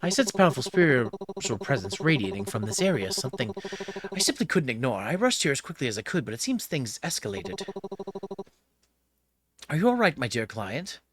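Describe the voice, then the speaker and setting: A man voicing a formal, solicitous spiritual investigator in a horror story, with measured wording. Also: mid-range voice, big pitch swings, pitched above the performer's own voice, lightly acted. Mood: concerned, composed.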